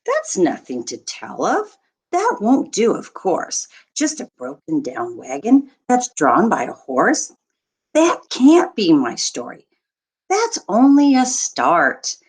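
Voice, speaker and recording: Animated woman reading a picture book aloud. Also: mid-range voice, breathy edge. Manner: expressive, deliberate storytelling with short asides to listeners. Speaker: teacher reading aloud to children. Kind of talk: children's picture book read-aloud in rhyme.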